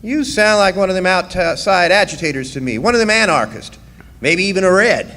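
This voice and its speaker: An actor playing a wry, easygoing drifter miner in a radio drama, with a folksy way of talking. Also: male voice, sits high, animated, brisk, sharp tone. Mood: teasing. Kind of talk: live original radio drama. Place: live performance in a resort hall. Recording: echoing hall, faint noise, live stage.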